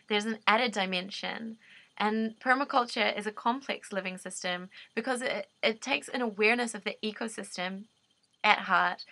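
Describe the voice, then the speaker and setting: A young woman with a New Zealand accent talks straight into her laptop webcam from a dim room at home. Her voice is bright and clear, and the sound is dry and close, with no room echo. She explains ideas earnestly and off the cuff, pausing and restarting as she looks for the right words. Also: mid-range voice. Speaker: permaculture designer. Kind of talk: unscripted explanatory monologue. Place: dim indoor room, seated. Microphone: laptop webcam at desk.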